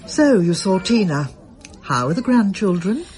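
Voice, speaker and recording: A woman's voice: mid-range voice, sing-song, a little slow, a little mellow, slight background noise. An actress playing a concerned, inquisitive older woman friend in a radio drama. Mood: matter-of-fact.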